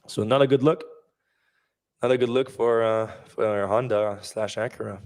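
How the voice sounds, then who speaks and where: A man hosting a casual news podcast, rambling with many fillers and restarts, his voice going hoarse. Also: lowish voice, fairly even intonation, normal speed, a little mellow. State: losing his voice. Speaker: podcast host.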